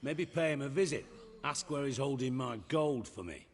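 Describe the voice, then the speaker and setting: An actor playing a shrewd, sardonic crime boss in a video game, blunt and mockingly polite. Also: male voice, mid-range voice, animated, moderate pace, big-room sound, natural voice. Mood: smug, scheming.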